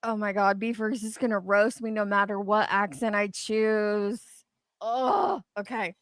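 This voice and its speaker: A woman's voice: medium pitch, a little slow, dry recording, clean studio sound. A woman chatting informally and profanely as a host who reads online comments aloud. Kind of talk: casual banter and dramatic readings.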